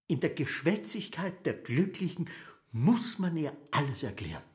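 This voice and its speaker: An actor playing an anxious, excitable official in a radio play, speaking in emphatic, theatrical phrases. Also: male voice, a little high, normal speed. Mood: rapt, solemn. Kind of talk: German literary radio play acting.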